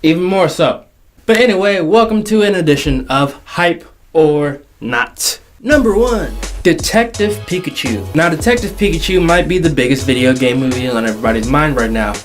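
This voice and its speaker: A man's voice: fairly high pitch, expressive, normal speed. A young man hosting a casual entertainment commentary, jokey and slangy, stretching words for emphasis. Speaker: video host. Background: background music.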